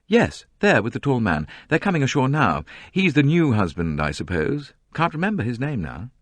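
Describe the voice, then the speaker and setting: A man's voice: mid-range voice, sing-song, moderate pace, no room sound, voice pushed up. A man voicing an offhand, disparaging young man with a drawling manner.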